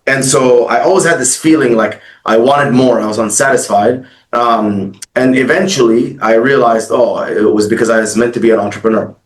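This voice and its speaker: Talkative man in an echoey room. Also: medium pitch. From Egypt and Dubai. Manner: fast, fluent storytelling with many fillers. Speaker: guest, agency founder. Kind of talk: casual interview storytelling.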